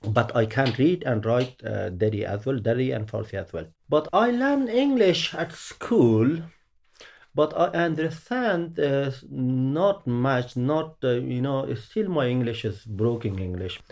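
A middle-aged man from Afghanistan speaks English with an Afghan accent. His first language is Pashto, and he searches for words, filling the gaps with "uh" and "you know". He pitches his voice in the ordinary middle range for a man. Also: lively intonation, creaky, a little mellow. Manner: halting, searching for words, many fillers. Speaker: interviewee, former journalist. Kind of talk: oral history interview.

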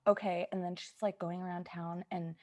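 A woman with a creaky voice improvising comedy in casual, chatty banter. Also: on the low side, little pitch movement, moderate pace, a little mellow, slight background noise.

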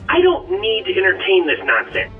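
A man's voice: high voice, huge pitch swings, brisk. A man voicing a pedantic, easily offended robotic ghost critic with a fussy, lecturing manner and a radio-like filter. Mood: indignant. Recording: thin phone-like filtered sound, distant, music.

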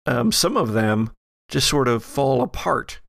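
A man with a gravelly, creaky voice runs a tabletop horror game as game master. He narrates slowly and deliberately, with dramatic pauses, in a dry, close recording. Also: mid-range voice, very animated, fairly bright tone, no room sound.

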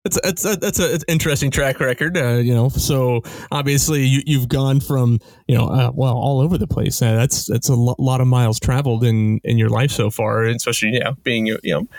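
A man hosts a supporters' podcast from his home, recorded on a plain microphone with a dry, close sound. He winds into his questions slowly, with many fillers and restarts. His pitch sits in a middle range for a man. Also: steady tone, darkish tone, no room sound. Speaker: podcast host. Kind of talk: casual podcast interview. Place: home during a storm.

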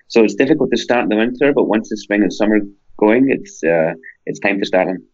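A Scottish man with a deep, low-pitched voice talks as a podcast guest, eager and rambling, piling up long lists as he goes. His voice comes through a dull, lo-fi remote line that takes the brightness off the sound. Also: animated, fairly quick. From Scotland. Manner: enthusiastic, rambling, lists at length. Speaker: parkrun Canada guest. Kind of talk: conversational podcast interview.

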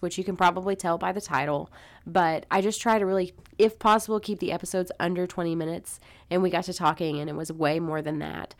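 A congested woman in her thirties, a podcast host giving listeners a chatty note. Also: on the low side.

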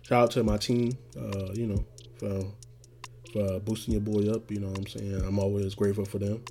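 Laid-back rambling man, raspy podcast host. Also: fairly low pitch, flat delivery, normal speed, dark tone. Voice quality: getting raspy. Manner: rambling, laid-back, slangy, many "you know what I'm saying" fillers. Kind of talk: casual solo podcast monologue.

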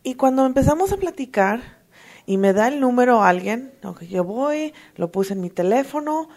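A woman giving an emotional, earnest personal testimony at a recovery meeting, halting often to restart her sentences. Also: on the high side, expressive, darkish tone. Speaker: twelve-step fellowship member sharing.

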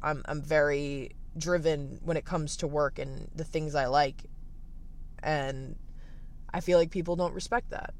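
Raspy, gravelly teenage guy talking candidly. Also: a little high, steady tone, unhurried. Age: late teens. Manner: candid, thoughtful, many fillers and restarts. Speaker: livestreamer. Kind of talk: reflective casual livestream monologue. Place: at the beach.

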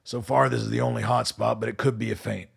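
An actor playing a weary middle-aged colonel in a radio drama, measured and commanding. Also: male voice, medium pitch, steady tone. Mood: grave, urgent. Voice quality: gravelly, husky. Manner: measured, authoritative.

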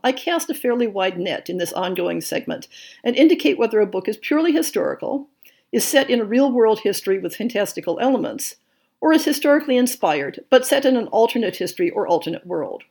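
A woman's voice: medium pitch, big pitch swings, darkish tone, slightly rough. Woman hosting a book podcast. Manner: measured, reads lists of titles and blurbs. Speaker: podcast host. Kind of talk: scripted monthly update podcast.

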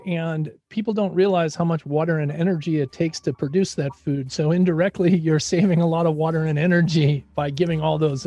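A man's voice: fairly high pitch, dark tone, muffled recording. A man hosting a radio interview show, casual and filler-heavy in his questions.

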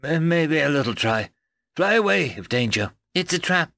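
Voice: medium pitch, sing-song, moderate pace, vocal fry, a bit bright, no room sound, pitched above the performer's own voice. A man voicing a tiny, wary, spiteful male fey creature in an audiobook, speaking in broken, clipped phrases. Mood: wary, tempted. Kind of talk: audiobook character voice.

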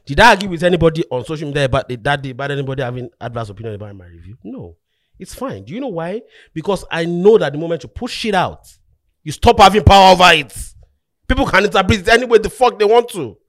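A man's voice: fairly high pitch, lively intonation, fast talker, fairly bright tone, no echo, studio quality. A man hosting a podcast debate, talking emphatically and repeating himself to drive points home. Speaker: podcast host.

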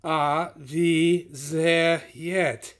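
A man's voice: sits high, sing-song, moderate pace, bright, forceful tone, voice pushed up, heavily acted. A man voicing an exasperated, winded German-accented Nazi officer in a comic audio story. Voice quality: wheezy.

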